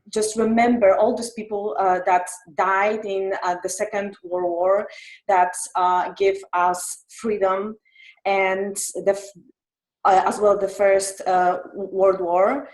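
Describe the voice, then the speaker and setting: A woman with a Polish accent, a native Polish speaker, giving a halting impromptu speech. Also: mid-range voice. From Poland. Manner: halting, hesitant. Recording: echoey room sound.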